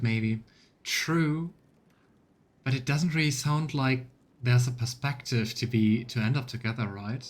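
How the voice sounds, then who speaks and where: Male streamer, mid-pitched, casual let's play commentary, musing, informal. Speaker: game streamer.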